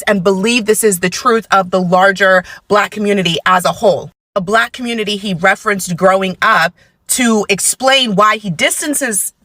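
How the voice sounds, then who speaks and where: A woman's voice: medium pitch, a bit bright, no echo. A woman giving emphatic social media commentary, repeating points for effect in an exasperated way. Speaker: commentary creator.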